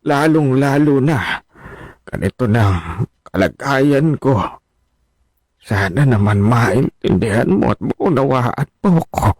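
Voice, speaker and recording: An actor playing an ailing older father in a radio drama, halting, weary and imploring. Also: medium pitch, expressive, a little mellow, no room sound, studio quality. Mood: pleading. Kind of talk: Filipino radio drama acting.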